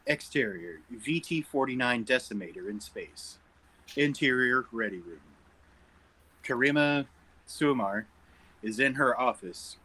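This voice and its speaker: A man with a very bright, forward voice reads screenplay stage directions at a table read. He works through scene headings and action as scripted narration. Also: medium pitch, rough recording.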